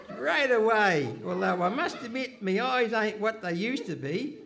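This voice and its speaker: High, creaky whining comic tramp. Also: male voice, lively intonation, measured pace, a crowd in the background, heavily acted. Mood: cheeky, grumbling. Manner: slangy, whining comic delivery. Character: bumbling male tramp, cheeky and long-suffering. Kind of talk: Goon Show style radio comedy acting. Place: live stage performance in a hall.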